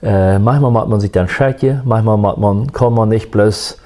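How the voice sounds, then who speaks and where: A man, a musician, telling his life story in a soft-spoken, reflective and faith-centered way. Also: on the low side, moderate pace. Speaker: musician guest.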